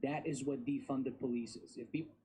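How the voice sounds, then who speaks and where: A man's voice: mid-range voice, steady tone, a little fast, dark tone, breathy edge. A man in his thirties from Bosnia and Herzegovina, a guest talking casually and emphatically, repeating his words. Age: 30s. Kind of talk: casual interview talk.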